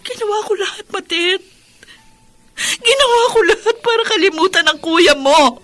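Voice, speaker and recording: An actress playing a grieving middle-aged widowed mother in a radio drama, crying through an anguished, drawn-out delivery. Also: very high voice, animated, fairly bright tone. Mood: tearful longing. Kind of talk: melodramatic Tagalog radio drama acting. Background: music.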